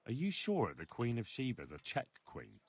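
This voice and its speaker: A man's voice: mid-range voice, wildly swooping pitch, moderate pace, recorded from a distance, a little hiss or hum. An actor playing a plain-spoken young medieval man in a video game, questioning things in a dry, practical way. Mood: skeptical, curious. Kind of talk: video game dialogue acting.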